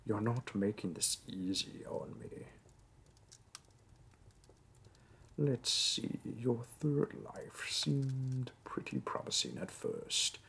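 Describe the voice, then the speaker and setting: A man voicing a demon interviewer in a roleplay audio drama, dry and condescending with mock sympathy, in a low gravelly voice. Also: big pitch swings, slow, few pauses, a bit bright, in a whisper, full character voice. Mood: dry, appraising. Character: bureaucratic male demon interviewer, disappointed, politely wicked.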